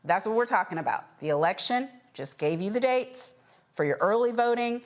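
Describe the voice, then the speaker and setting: A woman's voice: medium pitch, animated, normal speed. A woman hosting a political news panel in an informal, emphatic, conversational way. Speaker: panel show host.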